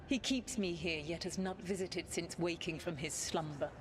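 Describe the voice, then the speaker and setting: Low-voiced imperious ancient elven goddess, played by a woman. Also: noisy background, close to an everyday voice. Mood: bitter, proud. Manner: formal, haughty, deliberate, commanding. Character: ancient imperious elven goddess spirit, proud, stern, testing petitioners. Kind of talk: dramatic video game acting.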